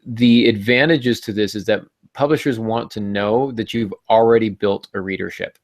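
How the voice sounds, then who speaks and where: A man's voice: on the low side, fairly even intonation, normal speed, no echo. Playful male podcast host, close dry sound. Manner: playful, tongue-in-cheek. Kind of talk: casual, joking podcast talk.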